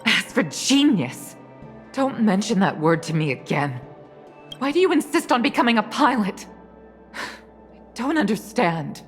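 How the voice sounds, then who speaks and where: A woman's voice: medium pitch, very animated, measured pace, long pauses, faint music behind. A woman voicing a stern, protective mother and dignified commander in a video game, with an authoritative, formal delivery. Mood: stern, angry. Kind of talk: dramatic video game voice acting.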